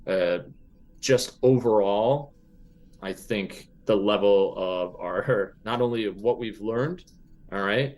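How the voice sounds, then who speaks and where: A man with a fairly low voice takes part in a tabletop role-playing game. He improvises casually, stopping and restarting as he mulls each point over aloud.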